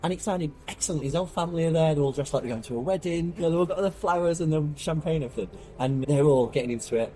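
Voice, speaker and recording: A man's voice: a little high, racing, hardly any pauses, warm tone, a little hiss or hum, low-quality recording. A man talking as a podcast guest about street piano playing, an enthusiastic storyteller who laughs often. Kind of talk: casual chat.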